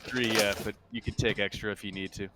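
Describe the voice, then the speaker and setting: A man running a tabletop roleplaying game as game master, thinking aloud in a halting, unscripted way. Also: on the low side, fairly even intonation, noisy background.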